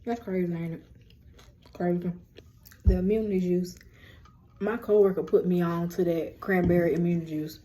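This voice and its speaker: A young woman with a deep voice, hosting a rambling, casual lunchtime chat on her vlog. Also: fairly even intonation, normal speed, pauses often, dark tone. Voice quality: low, deep voice. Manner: chatty, rambling, self-described yapper. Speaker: vlog host.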